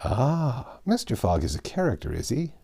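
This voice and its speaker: A man's voice: medium pitch, huge pitch swings, creaky, warm tone, breathy edge, voice pushed up, exaggerated voice. Man playing a sly, probing detective. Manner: inquisitive, measured, politely prodding. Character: shrewd, suspicious male detective, probing slyly.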